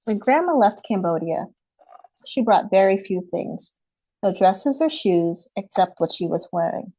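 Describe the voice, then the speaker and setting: A woman's voice: medium pitch, expressive, measured pace, darkish tone, no echo, rough recording. A woman author presenting her picture book and reading it aloud on a video call, speaking politely. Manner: polite, apologetic about screen sharing. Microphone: computer mic on a video call.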